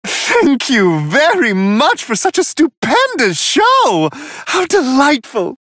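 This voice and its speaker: A man voicing a serene, refined angel attendant who gives polite, flowery, amused fight commentary in a video game, in a high, airy voice. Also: huge pitch swings, slow, fairly bright tone, dry recording, full character voice. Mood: impressed, courtly. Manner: polite, flowery, genteel phrasing.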